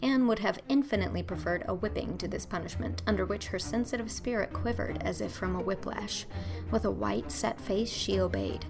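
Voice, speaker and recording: Female audiobook narrator: theatrical literary storytelling with some fry in the tone, over clearly audible music. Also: mid-range voice, brisk. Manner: theatrical, emphatic. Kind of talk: expressive scripted storybook narration.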